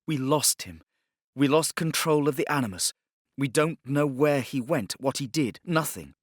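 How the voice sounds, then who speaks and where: A woman's voice: a bass voice, lively intonation, moderate pace, no room sound, clean studio sound, pitched above the performer's own voice. A man voicing an anxious, defiant woman scientist in an audiobook, with a clipped and urgent manner.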